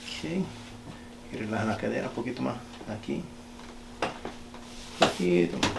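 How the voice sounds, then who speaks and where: A man working as a chiropractor, calmly guiding a patient through treatment with short, polite, reassuring phrases. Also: fairly low pitch, moderate pace, vocal fry. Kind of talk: calm hands-on treatment instructions to a patient. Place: echoey indoor treatment room. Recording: echoey room with loud background noise.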